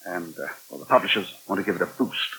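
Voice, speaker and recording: An actor playing a polite, hesitant but determined British journalist in a 1980 radio soap. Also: male voice, low-pitched, lively intonation, fast, sharp tone, slight background noise, full character voice, low-quality recording. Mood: hesitant, apologetic.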